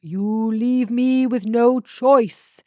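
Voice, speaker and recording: A woman voicing a needy, menacing male sentient spaceship in an audio story, speaking in slow, emphatic, drawn-out phrases. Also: fairly high pitch, steady tone, warm tone, dry recording, muffled recording, pitched above the performer's own voice. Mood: threatening, demanding.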